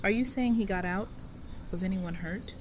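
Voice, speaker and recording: A woman's voice: medium pitch, normal speed, slight background noise, natural voice. A woman playing a stern, guarded and unyielding security chief in an audio drama. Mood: alarmed. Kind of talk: full-cast audio drama acting.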